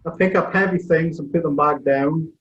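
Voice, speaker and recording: A man's voice: a little high, steady tone, normal speed, a bit bright, highs cut off. A middle-aged man from Scotland with a Scottish accent, a podcast guest talking about strongman competitions in a modest, matter-of-fact way. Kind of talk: casual chat.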